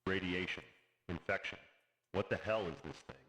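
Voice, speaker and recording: Bir erkeğin oynadığı kalın sesli, öfkeli başkan. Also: male voice, low voice, normal speed, fairly bright tone, low-quality recording. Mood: angry, demanding. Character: exasperated, commanding male president.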